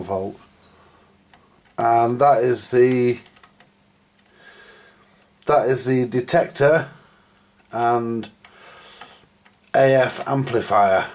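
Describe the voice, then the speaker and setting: A man's voice: medium pitch, dark tone. A man giving casual, rambling hands-on repair commentary to viewers, thinking aloud as he works. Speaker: host of a radio repair video. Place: at a home workbench. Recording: clear background noise with clicks.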